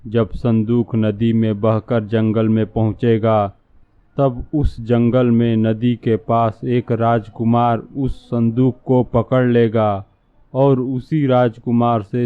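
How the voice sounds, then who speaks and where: A man's voice: medium pitch, level tone, takes it slowly, smooth, no room sound, lightly acted. A man playing a scheming, lecherous fake holy man in a cartoon, speaking in a sly, pompous way. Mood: smoothly persuasive. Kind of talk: cartoon dialogue. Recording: narrow, phone-like muffled sound.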